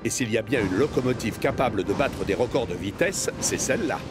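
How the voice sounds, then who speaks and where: A man's voice: mid-range voice, expressive, brisk. Male children's cartoon narrator over music. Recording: music behind the voice.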